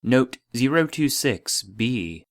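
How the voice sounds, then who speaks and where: An actor playing the formal, detached voice of a secret archive database, narrating a scripted report in an audio drama. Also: male voice, medium pitch, sing-song, slow pace. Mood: clinical, detached.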